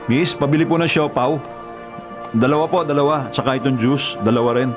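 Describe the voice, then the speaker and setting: A man's voice: medium pitch, steady tone, fairly quick, natural voice. Guy acting worn-out young filipino rider, devoted older brother, emotional radio drama style, music behind him. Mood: hurried, polite. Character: weary, devoted young male delivery rider, elder brother.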